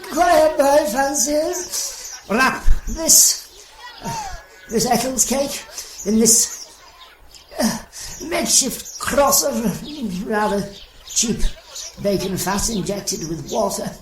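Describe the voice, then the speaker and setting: Comic audio drama: woman plays self-important exorcist priest, halting and ponderous, high-pitched, echoing from a distance. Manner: slow, halting, declamatory. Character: pompous male priest solemnly performing a bungled exorcism. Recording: roomy, distant, clearly noisy.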